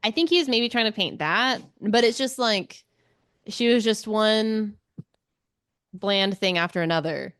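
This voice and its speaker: A woman's voice: mid-range voice, a little slow, a bit bright, clear, resonant tone. A woman co-hosting a book podcast, chatting casually and expressively, readily slipping into quoted lines. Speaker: book club podcast co-host. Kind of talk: casual book discussion chat.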